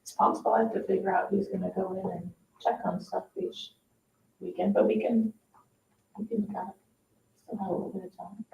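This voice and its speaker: A woman's voice: mid-range voice, steady tone, fairly quick, creaky, long pauses, darkish tone, lo-fi sound. A woman who works as parks staff, explaining practical points with examples in an informal board discussion. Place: indoor public meeting room. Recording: echoey meeting room, distant mic, faint noise.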